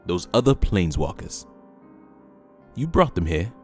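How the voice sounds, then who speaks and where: A man playing an imperious, impatient, scheming official with a commanding, smooth-talking menace in an audio drama. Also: low-pitched, sing-song, measured pace, a little mellow, soft background music, exaggerated voice. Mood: scheming, persuasive. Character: imperious, impatient, scheming male government schemer with metal arm.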